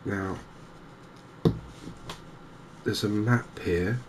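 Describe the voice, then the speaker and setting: A man with an English accent giving soft, gentle, unhurried cozy ASMR commentary on a video game as the channel host. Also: on the low side, steady tone, slight background noise. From England.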